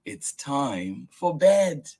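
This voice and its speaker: A man voicing a patient, gentle old grandfather who keeps coaxing a child to bed. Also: mid-range voice, very animated, extremely slow, slightly rough, pitched above the performer's own voice, heavily acted. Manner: patient, repeating himself gently.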